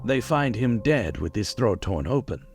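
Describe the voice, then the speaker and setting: A man playing a brusque foreign seaman who talks bluntly in halting broken English in a radio drama. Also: medium pitch, animated, a little mellow, faint music behind, close to an everyday voice. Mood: gruff, matter-of-fact. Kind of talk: old-time radio drama acting.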